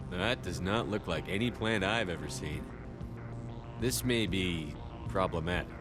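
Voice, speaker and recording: A blunt, resolute space commander in a video game. His voice is a little low, and his delivery is terse and firm. Music plays clearly underneath. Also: measured pace. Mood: wary.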